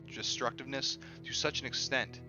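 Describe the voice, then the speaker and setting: A man reading a book aloud in an expressive style. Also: mid-range voice, moderate pace. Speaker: reader of an audiobook on a stream. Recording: dull lo-fi audio with music behind. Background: music.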